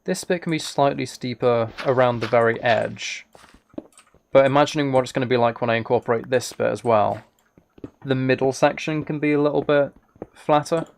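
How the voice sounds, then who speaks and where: A man casually chatting on a live game stream, thinking aloud in a relaxed way, close to the microphone. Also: medium pitch, moderate pace, creaky, a little hiss or hum. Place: indoors at a computer.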